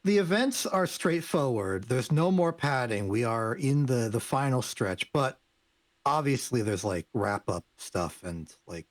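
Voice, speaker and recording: A man's voice: medium pitch, lively intonation, measured pace, no room sound. A man co-hosting a game stream, chatting casually and giving advice in a hesitant way that repeats words. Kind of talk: casual chat, advising a player.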